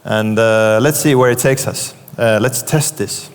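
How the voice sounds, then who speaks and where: A man's voice: fairly low pitch, unhurried, clear, resonant tone. A man moderating a conference panel, talking loosely with many fillers. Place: conference stage in a large hall. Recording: long hall reverb.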